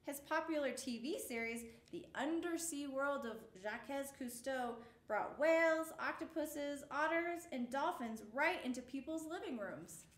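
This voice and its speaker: A woman reading a picture book aloud as a storyteller for children, with measured, expressive phrasing. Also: high voice, echoey room.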